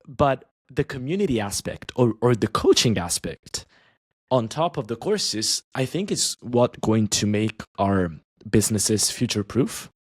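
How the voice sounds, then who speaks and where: A man guesting on a podcast as an online language teacher, chatting casually and talking in quick bursts with frequent restarts. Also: medium pitch, sing-song, husky, no echo, studio quality.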